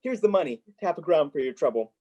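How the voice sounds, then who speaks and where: Young actor as old reformed miser, hearty, exclamatory, courtly phrasing, mid-pitched, radio drama. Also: expressive, fast talker, mellow, dull audio, natural voice. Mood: delighted. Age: young adult. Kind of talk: 1930s radio play acting.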